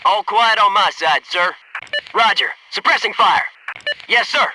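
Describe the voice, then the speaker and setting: A man's voice: sits high, a little slow, noisy background. A male actor playing a loyal, wisecracking special forces soldier in a military shooter game, speaking in clipped military phrases. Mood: businesslike.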